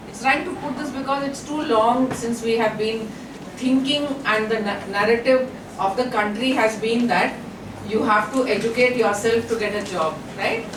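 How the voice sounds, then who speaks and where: A middle-aged woman giving a lively guest lecture to college students, chatty and engaging, often asking the audience questions. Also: a little high, moderate pace. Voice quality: loud voice. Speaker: invited guest speaker. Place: college hall. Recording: echoey hall, far from mic, noisy.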